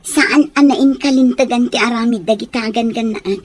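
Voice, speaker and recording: A woman's voice: fairly high pitch, fairly even intonation, moderate pace, close to an everyday voice. A woman playing a concerned, protective elder-sister figure who cautions others in an audio drama. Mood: cautioning. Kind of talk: Ilocano audio drama acting.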